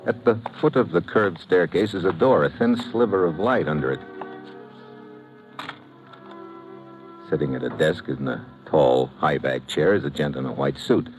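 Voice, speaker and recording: An actor playing a terse, wisecracking American investigator, delivering tough noir narration and dialogue for radio. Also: male voice, rumbling low, normal speed, hardly any pauses, dull audio, music in the background. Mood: tense, deadpan. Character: hard-boiled, wisecracking American investigator hero. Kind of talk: first-person noir narration and radio acting. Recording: noisy old-time radio recording.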